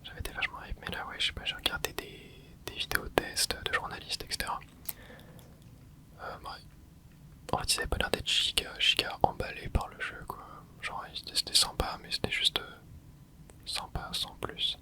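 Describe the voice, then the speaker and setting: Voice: very high voice, level tone, moderate pace. A young adult ASMR host whispering casual, rambling chat while playing a video game, with many hesitant fillers. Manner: soft unvoiced whisper throughout. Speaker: ASMR gaming channel host. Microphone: close mic.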